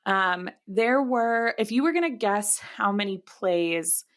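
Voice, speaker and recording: A woman co-hosting a casual discussion podcast, often pausing and searching for her words as she explains. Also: mid-range voice, lively intonation, fairly bright tone. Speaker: podcast co-host. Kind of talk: casual book-adaptation discussion.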